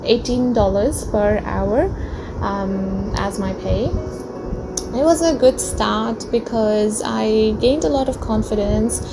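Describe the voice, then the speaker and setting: A woman's voice: mid-range voice, noisy background. A young woman, English not her first language, telling a personal story to camera in a chatty way with many ums and uhs, in a small room. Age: young adult. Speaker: vlogger.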